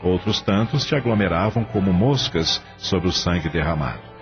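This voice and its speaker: A man's voice: sits low, expressive, moderate pace, highs cut off. A Brazilian man narrating a radio novel in a dramatic scripted style. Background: music.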